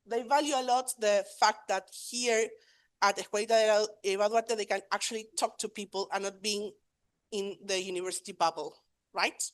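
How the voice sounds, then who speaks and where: A woman's voice: mid-range voice, fairly even intonation, moderate pace. A woman from Argentina, giving an academic conference talk in English, hesitant, with many fillers and self-corrections. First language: Spanish. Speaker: conference presenter. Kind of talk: academic presentation.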